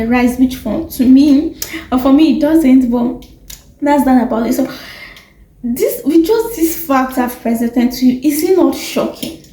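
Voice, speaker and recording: Woman, Nigerian accent, young adult, casual vlog chat, high-pitched, roomy echo. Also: mellow. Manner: chatty, rambling with fillers and self-corrections. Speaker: vlogger.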